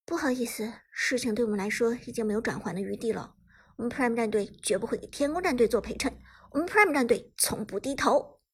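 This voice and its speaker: A woman's voice: fairly high pitch, fairly quick, slightly rough. A woman voicing a proud female esports team leader in an audiobook, speaking in a firm, curt manner. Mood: firm refusal.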